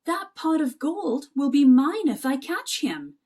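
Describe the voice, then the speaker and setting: Woman voicing an eager, high-pitched little boy mouse. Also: measured pace. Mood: wondering, determined. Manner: excitable, exclaiming and questioning.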